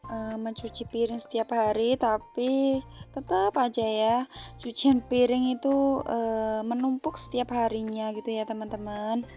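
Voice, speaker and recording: A woman's voice: fairly high pitch, darkish tone. A woman from Central Java with a Javanese accent, chatting casually to viewers as a housewife vlogger while washing dishes at home. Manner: chatty, many fillers, often says "friends". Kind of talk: casual vlog narration while doing chores. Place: wooden house in a village.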